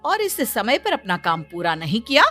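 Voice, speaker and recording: A woman's voice: medium pitch, very animated, moderate pace, fairly bright tone, faint music behind. A woman voicing a stern, domineering rich middle-aged mother in a cartoon story, in a scolding, bossy manner.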